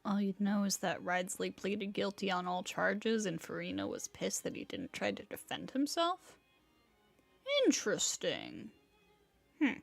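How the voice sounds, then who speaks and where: A woman's voice: medium pitch, sing-song, measured pace, creaky, faint music behind. A woman livestreaming a game, reacting casually in an informal, playful, wry way. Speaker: video game streamer.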